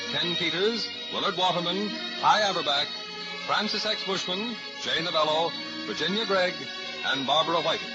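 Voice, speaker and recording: Loud music plays behind an American male announcer on a muffled old radio recording. His voice is fairly high and swings in pitch, formal and projected in 1940s style.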